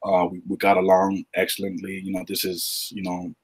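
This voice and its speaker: Deep husky man, relaxed and halting. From Uganda. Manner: relaxed, halting with many fillers. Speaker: guest, retired pro basketball player and agent. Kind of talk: podcast interview.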